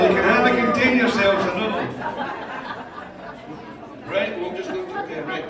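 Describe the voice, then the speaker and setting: A man hosts hotel entertainment over a very echoey PA, heard from far off. His voice is high and his patter is tongue-in-cheek, with music and a crowd around him. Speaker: hotel entertainer and quiz host. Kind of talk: live stage patter. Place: hotel function room. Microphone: handheld microphone through PA, filmed from audience.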